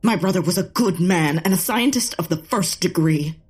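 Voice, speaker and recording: A woman's voice: on the low side, expressive, normal speed, breathy edge. An actress playing a proper, indignant female scientist, earnest and formal, in a comic audio drama.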